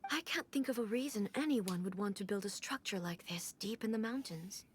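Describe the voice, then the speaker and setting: A woman playing a dignified, resolute shogun ruler in a video game, speaking formally and with measured authority. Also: mid-range voice, a little hiss or hum, close to an everyday voice. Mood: solemn, resolute. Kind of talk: video game character acting. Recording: game dialogue over clear music.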